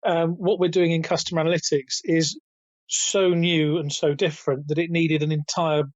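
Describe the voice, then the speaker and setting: A man in his fifties speaks with a raspy, slightly high voice, stumbling and restarting as he explains his points. The sound is dry and a little muffled, like a remote call. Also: level tone, normal speed, no echo. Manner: hesitant, many restarts, chuckles between points. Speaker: guest, retail author and company founder. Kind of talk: casual interview over a call.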